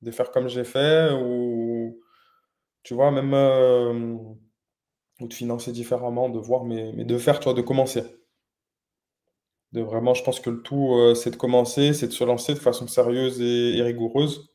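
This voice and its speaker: Man, young adult, mellow voice, hesitant, lots of fillers and restarts, casual interview talk. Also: mid-range voice, flat delivery, normal speed. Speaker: interviewed entrepreneur.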